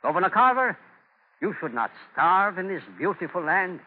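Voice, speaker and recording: An actor playing a dignified, compassionate Native man, speaking earnestly in measured, formal words, in 1940s radio drama style. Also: high voice, sing-song. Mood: earnest, friendly. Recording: tinny, noisy 1940 radio recording.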